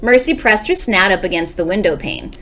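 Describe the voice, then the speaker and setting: A woman's voice: mid-range voice, a little fast, bright, forceful tone, a little hiss or hum. A woman, a second grade teacher, reading a storybook aloud expressively and explaining it for young listeners. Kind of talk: expressive children's read-aloud.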